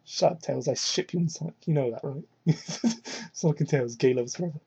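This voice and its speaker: A woman's voice: brisk, vocal fry, warm tone, husky. Irreverent woman reading, muffled mic. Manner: irreverent, sweary, breaks off to comment. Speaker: amateur fan fiction reader. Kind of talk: dramatic reading with mocking asides. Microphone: cheap microphone. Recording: muffled low-quality microphone.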